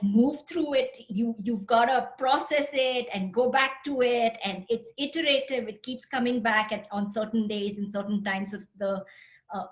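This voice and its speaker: A woman from India with an Indian accent, a counseling center director introducing herself candidly and earnestly on a video call panel. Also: fairly high pitch. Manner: candid, earnest, apologetic about connection.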